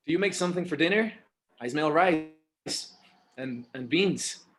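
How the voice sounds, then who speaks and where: A man playing a patient, slightly exasperated adult son in a screenplay table read, casual and halting, with bits of Spanish. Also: on the high side, animated, fairly bright tone. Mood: coaxing.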